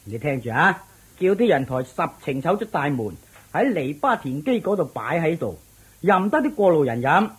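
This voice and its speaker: A woman's voice: deep voice, big pitch swings, normal speed, slightly rough, exaggerated voice, rough recording. A woman voicing a smug, wealthy male master of the house in a radio drama, chuckling and ordering his servants about. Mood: commanding. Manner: smug, self-satisfied, chuckling, commanding servants.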